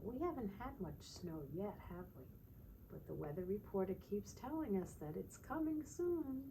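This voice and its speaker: Woman, children's storytime teacher. Soft-edged mellow tone, sing-song read-aloud with little questions to the listeners, slight background noise. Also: medium pitch, expressive, normal speed, creaky, rough recording.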